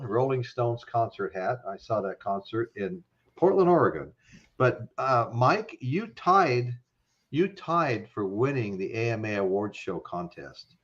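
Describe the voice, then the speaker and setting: A man's voice: medium pitch, a bit bright, highs cut off. A man hosting a casual live-stream chat, rambling with lots of fillers and frequent laughs. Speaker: host.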